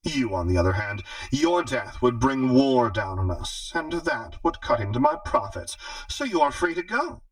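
A man's voice: medium pitch, sing-song, moderate pace, few pauses, no echo, distant mic, poor audio. A man voicing a smug, menacing female crime boss in an audiobook, with a condescending, mocking drawl. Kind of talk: audiobook character dialogue.

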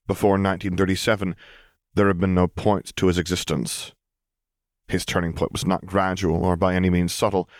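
A man reading scripted fiction as an audiobook narrator, with a measured, literary delivery. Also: low-pitched, no echo, studio quality. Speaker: audiobook reader.

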